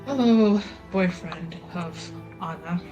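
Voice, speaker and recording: A woman's voice: on the low side, slow pace, dull audio. A woman improvising in-character banter as a player in a tabletop roleplaying game. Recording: music playing behind the voice. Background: background music.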